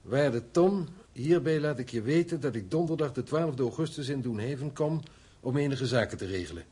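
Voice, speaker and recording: Radio drama: concerned, encouraging friend, slightly husky, mid-pitched, earnest delivery. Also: male voice, lively intonation, normal speed, dark tone. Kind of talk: radio play acting.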